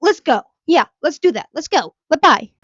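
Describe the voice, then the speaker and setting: A woman craft creator chatting casually and haltingly to camera, recorded with dull, lo-fi sound. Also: high-pitched, lively intonation, a bit bright, no room sound. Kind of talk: casual chat to camera introducing a project.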